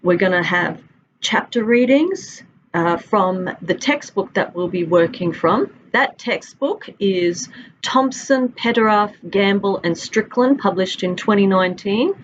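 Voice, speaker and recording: A woman's voice: mid-range voice, a little slow, muffled recording, a little hiss or hum. A middle-aged Australian woman, a university instructor recording a friendly, conversational course introduction from her office. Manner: friendly, conversational, stretches out listed items. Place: office with a large window. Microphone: webcam at desk.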